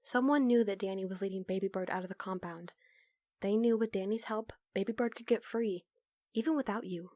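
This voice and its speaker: A woman's voice: mid-range voice, fairly quick, a little mellow, no room sound, lightly acted. A woman playing a guarded, studious young roommate in an audio roleplay, with a dry, blunt, teasing way of talking. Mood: serious, guarded. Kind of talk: audio roleplay acting, casual dialogue.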